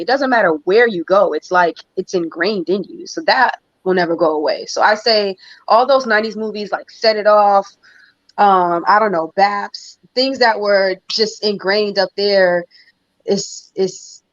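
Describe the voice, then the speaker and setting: A woman singer from New York, talking thoughtfully about her music in a casual interview, with measured fillers. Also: medium pitch, no echo. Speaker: singer guest. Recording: dull lo-fi dry sound.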